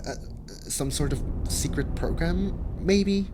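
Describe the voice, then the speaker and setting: A man's voice: on the high side, takes it slowly, noisy background. A man playing a hesitant, whispery male demon. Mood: conspiratorial. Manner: hushed, hesitant, stammering. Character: nervous gossipy male demon with intuition. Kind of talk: ASMR audio drama.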